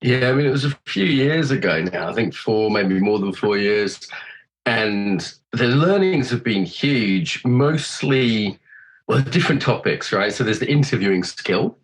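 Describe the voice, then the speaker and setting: A middle-aged man with a cold, a podcast host being interviewed, chatting loosely, swearing freely and thinking out loud. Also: fairly low pitch, expressive, measured pace, poor audio. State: has a cold. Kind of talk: casual chat.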